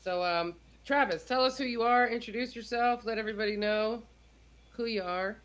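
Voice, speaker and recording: Female host, middle-aged. Bright, punchy voice, informal and effusive, chatting on a live video call. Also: on the high side, moderate pace. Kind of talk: casual hosting chat. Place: indoor room, video call.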